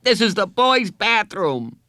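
A high, strained schoolboy, acted by a man narrator, stubborn and hurt, whining and shouting his defiance. The delivery swings widely in pitch, as in a storybook reading, and sounds close and dry. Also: sing-song, slow, dry recording, exaggerated voice. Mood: sullen, defiant. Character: upset, stubborn schoolboy feeling betrayed and angry.

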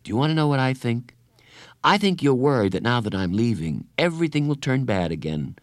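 A kind woman school counselor, voiced by a man narrator, patient and earnest as in a storybook. The phrases are gently reassuring and lively in pitch, in a dry, close sound. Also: brisk, no echo.

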